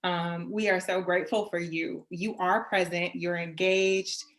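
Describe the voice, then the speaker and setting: A woman's voice: on the low side, steady tone, a little slow, fairly bright tone. A woman hosting a virtual event, speaking in an effusive, appreciative way. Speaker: event host.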